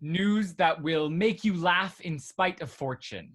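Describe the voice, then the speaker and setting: Man playing a bright-voiced, gleeful gossiping gentleman. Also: a little high, takes it slowly. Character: gleeful gossiping gentleman soldier relishing mischief. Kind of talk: period stage comedy acting.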